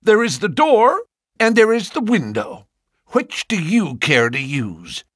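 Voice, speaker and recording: A man voices a gaunt old farmer, pitched far above a normal speaking voice and loud with fury. He snaps out sardonic, defiant lines in a dry, close sound. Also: animated, unhurried, no room sound, full character voice. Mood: furious. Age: older. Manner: shouting, sardonic.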